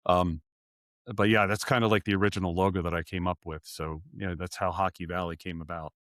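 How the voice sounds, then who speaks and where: A man's voice: sits low, steady tone, fast talker, fairly bright tone, no room sound, studio quality. A man in his forties, a sports arena announcer, chatting in an interview, rambling and correcting himself with little chuckles. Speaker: arena PA announcer, podcast guest. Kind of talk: casual interview chat.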